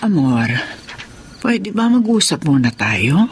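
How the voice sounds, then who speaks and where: An actress playing a patient, gently teasing mother of grown children in a radio drama, motherly and wry. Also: low-pitched, very animated, takes it slowly, a little hiss or hum, heavily acted. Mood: gentle concern. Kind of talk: Tagalog radio drama acting.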